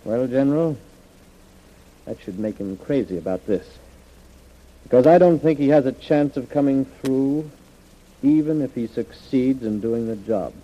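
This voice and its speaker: An actor playing a grave, anxious government official with a formal, deliberate delivery in a 1930s radio drama. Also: male voice, medium pitch, animated, noisy background, close to an everyday voice. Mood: doubtful. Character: solemn, anxious government official planning dangerous secret mission. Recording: muffled, dull old radio recording.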